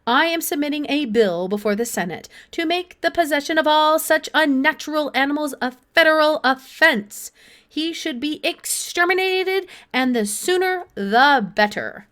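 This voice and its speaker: A blustering, self-important male politician from a children's storybook , acted out by a woman. The delivery is high and declamatory, pushing forcefully through each grand phrase. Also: expressive, moderate pace. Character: pompous, blustering fat male senator making an outraged speech. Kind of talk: children's audiobook character voice.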